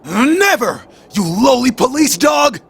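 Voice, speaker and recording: Raspy, high-pitched fanatical villain. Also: male voice, big pitch swings, slow pace, fairly bright tone, exaggerated voice. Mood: defiant fury. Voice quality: raspy, strained. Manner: ranting, defiant, theatrical. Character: fanatical warmongering male military loyalist villain. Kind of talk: anime-style video game voice acting.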